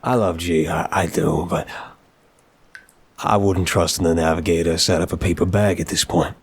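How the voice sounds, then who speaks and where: A man's voice: deep voice, steady tone, normal speed, slightly rough. A man acting as an apologetic, charming mafia boss in a scripted audio roleplay, talking in wry, conversational gangster slang. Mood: amused, charmed.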